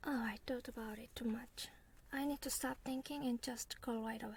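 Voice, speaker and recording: A woman speaking in a soft, breathy whisper with careful, unhurried words, role-playing a writer in an ASMR style. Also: on the high side, steady tone, slight background noise. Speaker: ASMR role play performer.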